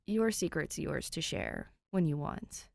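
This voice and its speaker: A woman's voice: low voice, huge pitch swings, a little slow, creaky, darkish tone, no echo, studio quality, heavily acted. A woman voicing a dead-tired, wry teenage boy vigilante who drags his words in a storybook reading. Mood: weary, gentle. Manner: weary, dragging words.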